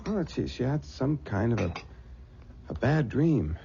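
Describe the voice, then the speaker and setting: Worried young husband in a radio play, mellow and creaky, very lively pitch. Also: male voice, a little slow. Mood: worried, hesitant. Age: young adult. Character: concerned, reassuring young bridegroom nephew. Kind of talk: old-time radio drama acting. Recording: dull, faintly noisy radio recording.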